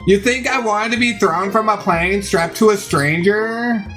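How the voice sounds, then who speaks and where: A man voicing a dramatic, indignant young female student in a video game, with drawn-out whining delivery. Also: high-pitched, fairly bright tone, soft background music, voice pushed up. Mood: angry, sarcastic.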